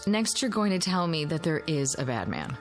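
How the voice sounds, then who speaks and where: A woman's voice: sits low, very animated, normal speed, faint music behind. An actress playing an anxious, sceptical wife and mother with a dry, sarcastic manner in a radio drama. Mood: irritated, sarcastic.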